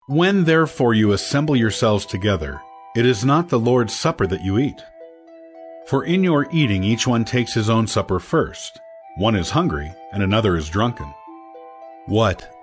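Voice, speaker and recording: A man reading scripture as an audio Bible narrator, in a measured, declarative scripted style. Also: lowish voice. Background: background music.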